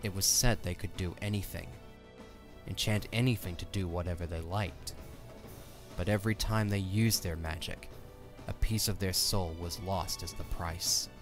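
A man's voice: sits low, fairly even intonation, normal speed, over music. A man reading scripted story narration for an audio drama.

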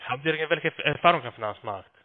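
A man working as a call centre account agent, speaking politely and haltingly on a sales call. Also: fairly high pitch, animated. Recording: tinny phone line.

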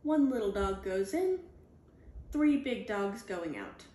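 A woman reading a children's picture book aloud, deliberately, in clearly spaced phrases for young children, recorded indoors at home. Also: mid-range voice, animated, warm tone. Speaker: storybook reader. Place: indoors at home, on a leather couch. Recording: roomy home recording with background noise.

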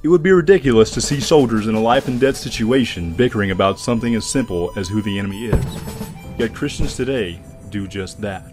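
A man's voice: lowish voice, lively intonation, fairly quick, a little mellow, soft background music. A man narrating a scripted radio programme as its announcer.

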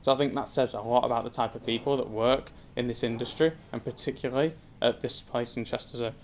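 A man's voice: mid-range voice, steady tone, fast talker, a little hiss or hum. Hesitant young man giving a talk. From England. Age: 20s. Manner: hesitant, many filler ums, casual and self-deprecating. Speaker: zoo community engagement assistant giving a talk. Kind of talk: personal story talk to an audience. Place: indoor wood-panelled function room with seated audience. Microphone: speaking at a lectern.

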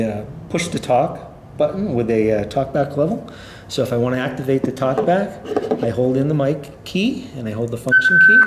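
Bright-voiced man in an echoey room. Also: lowish voice. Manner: plain-spoken, informal step-by-step explaining. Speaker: company representative presenting a product. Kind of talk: unscripted product demonstration. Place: indoor room at a tabletop. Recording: roomy echo, faint background noise.

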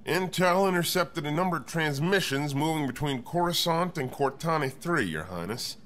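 A man's voice: fairly high pitch, lively intonation, normal speed, fairly bright tone, slight background noise. A man playing a dutiful, respectful starship captain with a grim, dryly wry manner in a science fiction audio drama.

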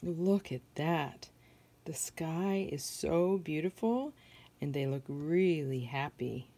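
A woman's voice: low-pitched, expressive, takes it slowly, mellow. A middle-aged American woman reading a picture book aloud to children, adding simple questions and observations as she goes. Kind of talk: read-aloud storytelling with asides to young listeners.

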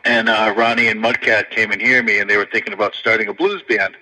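A man's voice: on the low side, fast talker, sharp tone, big-room sound, rough recording. A man, a blues pianist telling stories in a rambling, filler-heavy way. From Connecticut, USA. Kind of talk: casual interview storytelling.

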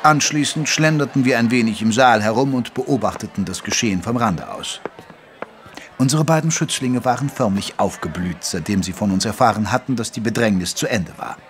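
An actor playing a genteel, good-natured Victorian doctor who narrates an audio drama in a measured, literary way. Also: male voice, lowish voice, slight background noise, lightly acted. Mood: measured, narrating.

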